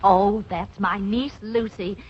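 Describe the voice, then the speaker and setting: A woman's voice: mid-range voice, steady tone, takes it slowly, close to an everyday voice. An actress playing a wry, man-hungry older aunt in a vintage radio comedy, emphatic and wisecracking. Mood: eager, sociable. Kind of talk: 1930s-40s radio comedy acting. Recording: dull, noisy vintage radio broadcast recording.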